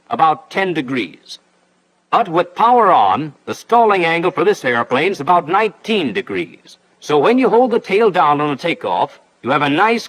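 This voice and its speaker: An actor playing a breezy instructor, narrating a 1940s pilot training film in a folksy, chummy way. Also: male voice, on the high side, a bit bright, rough recording. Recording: 1940s film soundtrack with faint noise.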